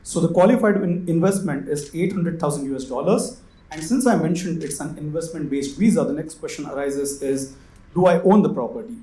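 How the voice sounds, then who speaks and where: A man's voice: fairly high pitch, a little fast, warm tone. A man explaining things as a specialist panelist at a conference. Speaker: panelist, investment visa specialist. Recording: echoey room sound.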